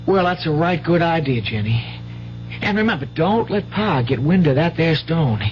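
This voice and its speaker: A man's voice: a little high, lively intonation, moderate pace, lightly acted. An actor playing a cautious, kindly teenage country boy with hesitant, folksy speech in a radio drama. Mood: gently warning. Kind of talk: 1970s radio drama acting. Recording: dull, hissy old radio broadcast.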